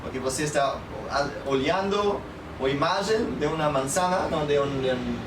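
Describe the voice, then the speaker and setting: A man in his thirties with a slightly high voice chats and gives advice as a guest. His delivery is halting and mixes languages. He sounds echoey, with clear noise around him. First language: German. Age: 30s. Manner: halting non-native speech peppered with Spanish and English words and tag questions. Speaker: guest, public speaker. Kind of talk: casual storytelling and advice. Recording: echoey, noisy, distant mic.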